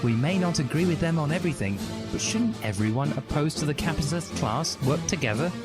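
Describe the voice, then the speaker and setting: A man's voice: on the high side, lively intonation, brisk, voice pushed up, close to an everyday voice. A man voicing an innocent, naive teenage schoolgirl in a storybook reading. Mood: innocent, puzzled. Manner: naive, pleading. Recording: music behind the voice.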